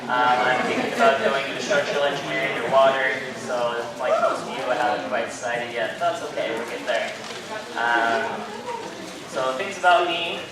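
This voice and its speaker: Young man, casual and self-mocking; distant, echoey recording, loud crowd behind. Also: medium pitch, steady tone, moderate pace. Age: young adult. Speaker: student club candidate. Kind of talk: student speech. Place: lecture room. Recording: echoey room, mic far, crowd noise.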